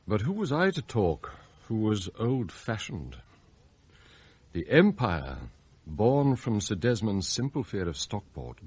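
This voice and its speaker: An English butler, proud and bitter, in a radio play. His voice is husky and swings very widely in pitch. He speaks in ornate, formal sentences like a passionate idealist with a scheme. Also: a little mellow, heavily acted. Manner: formal, ornate, theatrical phrasing. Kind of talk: radio drama acting, monologue and dialogue.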